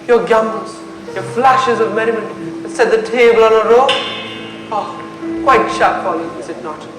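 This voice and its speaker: Young man playing passionate brooding prince, declamatory Shakespeare, high pitch, loud music under cavernous echo. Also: measured pace. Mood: mournful musing. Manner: theatrical, declamatory. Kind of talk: school stage Shakespeare play. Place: indoor stage in a hall. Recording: very echoey hall, loud music behind.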